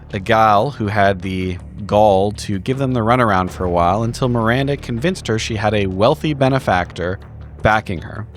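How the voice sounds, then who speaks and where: A man narrating a recap of a tabletop horror game in measured, literary storytelling language. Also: mid-range voice. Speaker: player in a tabletop horror roleplaying show.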